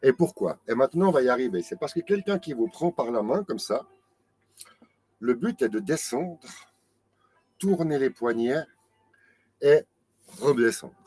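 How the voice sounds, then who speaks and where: A man's voice: medium pitch, lively intonation, darkish tone, slightly rough, no room sound. Chatty French tai chi teacher in his fifties. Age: 50s. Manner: chatty, self-mocking, quick asides. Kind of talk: live-streamed class explanation.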